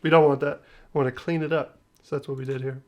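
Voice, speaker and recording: A man's voice: mid-range voice, flat delivery, quick pace, muffled recording. A man hosting a music production tutorial, talking casually in a loose, joking, slangy way with trailing phrases. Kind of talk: casual screen-share tutorial talk.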